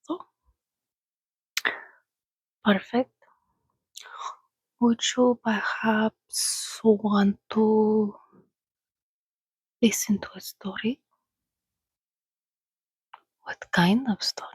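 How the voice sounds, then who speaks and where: A woman voicing an ancient female vampire in a soft-spoken, breathy ASMR roleplay, coaxing and gently teasing as she hosts a listener. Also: medium pitch, steady tone, no room sound, full character voice, rough recording. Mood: soothing, inviting. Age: over a thousand years old, as played. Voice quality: breathy, whispery. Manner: slow, coaxing, conversational with pauses.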